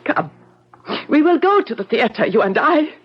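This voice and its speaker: A proud prima ballerina who is afraid but defiant. Her voice is high, with formal, imperious phrasing. The sound is that of a dull 1970s radio play. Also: very animated, normal speed. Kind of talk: 1970s radio drama acting.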